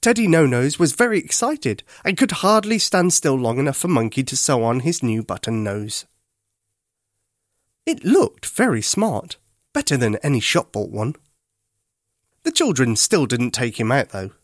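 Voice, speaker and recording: Kids podcast storyteller, medium pitch, really animated but gentle, careful diction, no echo. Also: a little slow, studio quality. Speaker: children's story podcast host and narrator. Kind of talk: expressive bedtime story narration.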